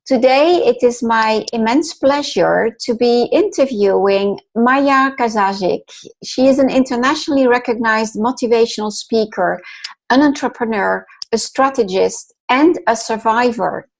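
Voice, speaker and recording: A woman's voice: on the high side, normal speed. A woman hosting an interview show, reading an introduction and then encouraging her guest with short affirmations. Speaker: podcast host, mentor.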